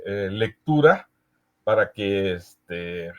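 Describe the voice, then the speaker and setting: A man from Veracruz with a Mexican accent, moderating a session in a casual, hesitant way and pausing often as he searches for words. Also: lowish voice, lively intonation, a little mellow. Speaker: host of a history conference session. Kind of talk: casual moderating, reading audience comments aloud.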